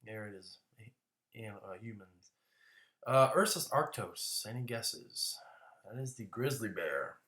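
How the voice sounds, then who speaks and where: Lecturing man with a bright, echoey voice. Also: on the low side, lively intonation, unhurried, long pauses. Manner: casual, restarts words, coughs now and then. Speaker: teacher. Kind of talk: classroom lecture.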